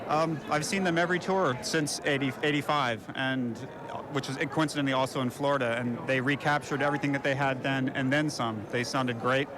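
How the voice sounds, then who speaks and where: Measured, thoughtful male fan. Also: mid-range voice, steady tone. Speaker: longtime fan. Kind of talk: vox pop reaction. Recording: crowd noise outdoors.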